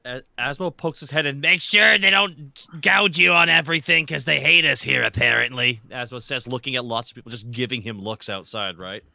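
A man's voice: medium pitch, fairly quick, creaky, hardly any pauses, pushed and bright, no echo. A man casually roleplaying in a tabletop game, joking and repeating himself as he improvises.

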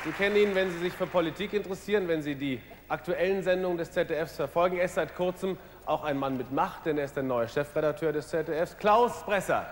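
A young male TV show host presenting a guest with lively, showman-like flair on a big studio stage. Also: on the high side, fast, a little hiss or hum. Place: large TV studio stage with audience. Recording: live show hall with crowd, echo.

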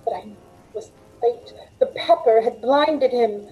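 An actress as a high, mellow, shaken waitress. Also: female voice, a little slow, faint music behind, lightly acted, low-quality recording. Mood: shaken, dazed. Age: middle-aged. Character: shaken young waitress recounting a terrifying ordeal. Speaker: lead actress in a radio play. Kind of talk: dramatic first-person radio acting. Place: room at home, on a video call.

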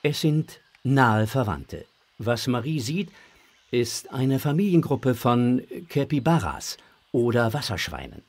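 Male narrator for a kids' audio drama, medium pitch, husky tone. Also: big pitch swings, slow pace.

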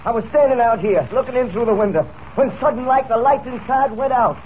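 Pleading, guilty cowhand henchman, rural stammer, high pitched, faintly noisy old broadcast. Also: brisk. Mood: terrified. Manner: stammering, folksy rural speech. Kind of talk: 1940s radio serial acting. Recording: tinny, noisy 1940s radio broadcast.

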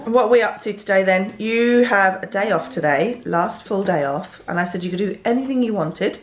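A woman's voice: medium pitch, moderate pace. An English woman in her thirties talking casually as a mother on a family vlog. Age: 30s.

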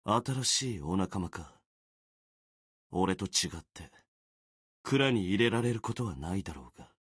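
A man voicing a gloomy, self-deprecating young warrior sword spirit in a video game, brooding and resigned, with a husky voice. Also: expressive, a bit bright, no room sound, clean studio sound, full character voice. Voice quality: low, husky. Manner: brooding, resigned, muttering with trailing pauses. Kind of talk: Japanese video game character acting.

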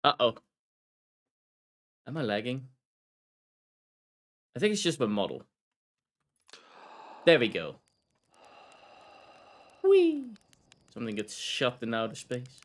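A man's voice: medium pitch, huge pitch swings, takes it slowly, pauses often. A man from the Netherlands with a Dutch accent, chatting casually and rambling while streaming a video game, laughing often.

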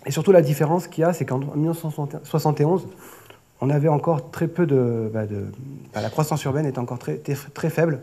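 A man's voice: fairly high pitch, brisk, mellow. A man in his thirties with an accent from France, giving a semi-improvised conference presentation in a hesitant way, often repeating and rephrasing his words. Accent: French from France. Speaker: flood prevention project manager, conference speaker. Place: auditorium stage at a lectern. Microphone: lectern gooseneck microphone.

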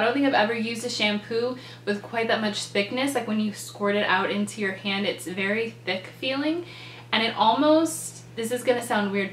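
A woman's voice: mid-range voice, a bit bright. A young woman in her twenties reviewing hair products to camera from her bedroom, chatty and enthusiastic with a lot of ums and likes, and a little stuffed up and nasal from a cold. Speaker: beauty vlogger. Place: bedroom, indoors. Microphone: camera in front, seated. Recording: echoey room with faint hum.

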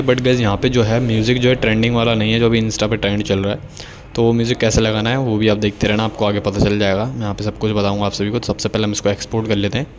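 A man's voice: fairly low pitch, a little hiss or hum. A man giving a casual, instructional tutorial voice-over, explaining things step by step in a repetitive way. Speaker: video editing tutorial host.

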